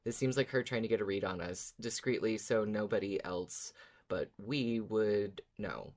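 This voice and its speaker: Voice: medium pitch, normal speed, a little mellow. A podcast co-host in casual discussion, arguing a case conversationally and at times reading out comments.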